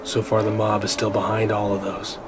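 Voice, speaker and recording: A man's voice: on the low side, completely flat delivery, fairly quick, background noise. A man narrating a first-person horror story as a scripted radio play. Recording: prominent music under the voice.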